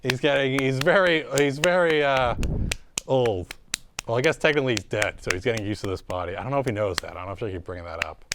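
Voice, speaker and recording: A man with a medium-pitched voice hosts an improvised comedy interview. He is casual and joking, with a halting delivery. The recording carries some faint noise. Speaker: comedy show host.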